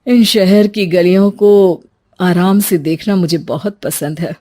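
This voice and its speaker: Woman doing a rich middle-aged cartoon guy, measured, calculating, kinda patronizing. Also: fairly low pitch, dark tone. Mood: casual.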